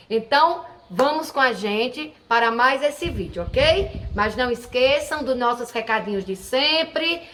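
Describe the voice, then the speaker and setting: A woman's voice: on the high side, a bit bright, poor audio. A woman hosting a history channel, explaining in a chatty, informal way with many fillers and restarts. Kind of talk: casual educational talk to camera.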